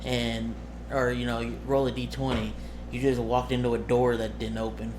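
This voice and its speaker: A man talking casually to his viewers as a streamer and game master, halting and rambling as he corrects himself. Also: mid-range voice, little pitch movement, background noise.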